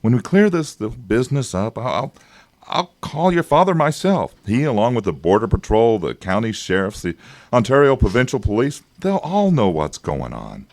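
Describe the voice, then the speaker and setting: An actor in a radio drama playing an evasive, persuasive government agent, smooth-talking and patronizing, with hesitations. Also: male voice, medium pitch, big pitch swings, moderate pace, no echo. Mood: insistent, smug.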